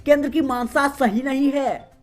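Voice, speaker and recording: A woman reading the day's regional news as a host, in a steady, formal newsreader delivery. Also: sits high, a little slow. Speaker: news reader. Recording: faint music behind voice.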